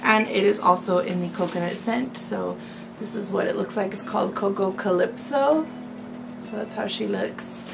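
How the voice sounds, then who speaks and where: Chatty woman with a hoarse, raspy voice. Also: mid-range voice, normal speed, music in the background, poor audio. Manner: chatty, many "um" and "like" fillers. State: hoarse from a sore throat. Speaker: beauty vlogger. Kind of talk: casual haul video to camera.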